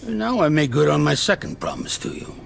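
An actor playing a fatherly old mobster in a game cutscene, calm, knowing and unhurried. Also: fairly high pitch, vocal fry, a little hiss or hum. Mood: warm, confiding. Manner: avuncular, unhurried, streetwise.

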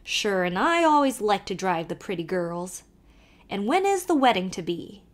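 Storybook part: a woman playing a jolly old Irish peddler man, sly brogue, lively swooping delivery. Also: fairly high pitch, measured pace, voice pushed up. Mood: teasing. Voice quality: thick brogue, sly, teasing.

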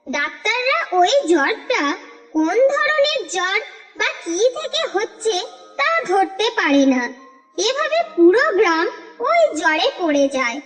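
Female cartoon narrator, very high, animated delivery, reverby with soft music bed. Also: unhurried. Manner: steady, expressive storytelling. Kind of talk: scripted storybook narration.

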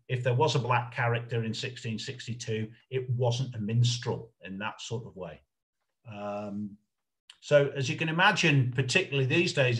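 Male lecturer, middle-aged, talking slowly and haltingly through an informal history presentation on a video call. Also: medium pitch. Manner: halting, hesitant, explanatory. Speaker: lecturer, researcher presenting a talk.

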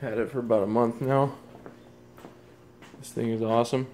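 A man casually showing off his hot tub in a garage, talking in a relaxed, rambling way with plenty of fillers, recorded on a handheld phone. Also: mid-range voice, moderate pace, slight background noise. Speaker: product reviewer. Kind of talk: casual product walkthrough.

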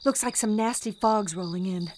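An actress playing a determined, bossy bank teller on the run in a period suspense audio drama, urgent and taking charge. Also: female voice, medium pitch, moderate pace, a little mellow, slight background noise, close to an everyday voice. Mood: resolute.

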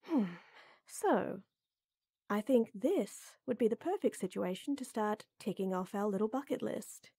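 Woman voicing a tall lady knight, flirty, soft-spoken, coaxing, slow pace, mellow tone, audio roleplay. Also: mid-range voice, lively intonation, no room sound, studio quality, exaggerated voice. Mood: flirty, teasing. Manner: soft, teasing, coaxing, with breathy near-whispers. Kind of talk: intimate roleplay audio drama.

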